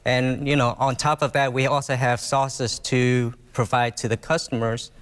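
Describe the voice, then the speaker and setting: A man's voice: medium pitch, flat delivery, normal speed, fairly bright tone, smooth, long reverb tail. A man working as an executive chef, interviewed on TV, polite, with short answers and many affirmations. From South Africa, grew up in Taiwan. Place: outdoor zoo kitchen set.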